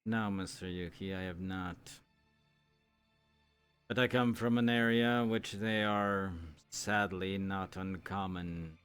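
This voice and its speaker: A man playing a grave, formal town authority in an improvised fantasy roleplay, speaking in a measured, ominous way. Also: deep voice, fairly even intonation, fairly bright tone, clear, resonant tone. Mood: grim, calm. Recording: music behind the voice. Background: music.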